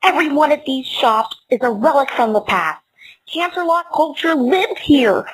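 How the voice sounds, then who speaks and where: A woman's voice: sits high, lively intonation, normal speed, poor audio. A woman voicing a hopeful young female earth pony in a fan comic dub, earnest and starry-eyed.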